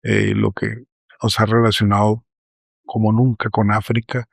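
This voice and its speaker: A middle-aged man gives measured, earnest remarks as a panelist. His voice sits low with a gravelly edge. The recording is close and dry, with no room sound. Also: level tone, no echo. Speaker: panelist, academic. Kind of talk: round table remarks.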